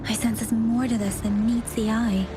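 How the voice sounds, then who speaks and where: A woman's voice: on the high side, level tone, moderate pace, lightly acted. A woman playing an earnest, cautious young investigator in a video game, speaking seriously over music. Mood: serious, wary. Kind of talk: scripted video game voice acting.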